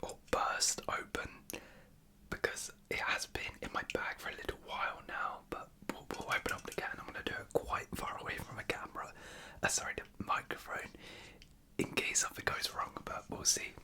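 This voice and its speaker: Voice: moderate pace, sharp tone. A British ASMR host whispering casual, unscripted chat in a hesitant, rambling way. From United Kingdom.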